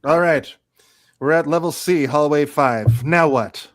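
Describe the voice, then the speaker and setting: A man's voice: on the high side, unhurried, close to an everyday voice. A man playing a confident actor-commander in trouble in a live radio play, speaking directly and with urgency. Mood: pressing.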